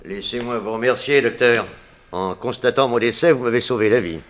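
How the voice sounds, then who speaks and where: A man's voice: medium pitch, a little fast, fairly bright tone, natural voice. A French man acting in a 1960s radio drama as a cocky, coarse escaped criminal with a sneering, familiar manner. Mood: smooth, flattering. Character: gloating male convicted criminal, cocky and coarse, freshly escaped.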